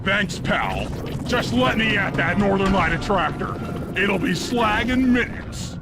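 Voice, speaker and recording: High, animated, boastful cartoon bruiser. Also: male voice, a little slow, loud music behind the voice, full character voice. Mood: eager, boastful. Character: big, brawny, childlike, boastful male cartoon animal sidekick. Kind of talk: video game cutscene voice acting.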